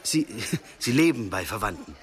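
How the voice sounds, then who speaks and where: An actor playing a stubborn, self-assured young European traveller in a German radio drama. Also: male voice, on the low side, very animated, moderate pace, soft background music. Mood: gentle. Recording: noisy car and street ambience.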